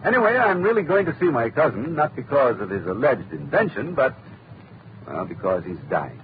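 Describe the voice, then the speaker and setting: A man's voice: medium pitch, big pitch swings, normal speed, a little hiss or hum, lightly acted. A man playing a genial, worried middle-aged clubman, in 1940s radio acting style, talking chattily with nervous laughs. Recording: tinny lo-fi old radio recording.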